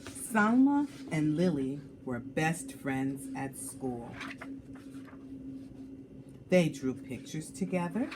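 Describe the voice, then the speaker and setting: A woman storyteller reads to young children at a slow, deliberate pace. Her pitch swings widely as she stretches key words for drama, and there is faint noise behind her. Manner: animated, dramatic, drawn-out emphasis for young listeners. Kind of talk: expressive picture-book read-aloud.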